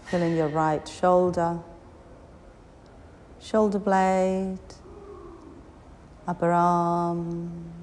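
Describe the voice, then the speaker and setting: A woman guiding a yoga nidra meditation, giving soothing, unhurried instructions with long pauses between short phrases. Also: lowish voice, little pitch movement, a little mellow. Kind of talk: guided meditation. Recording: long reverberant hall, background noise.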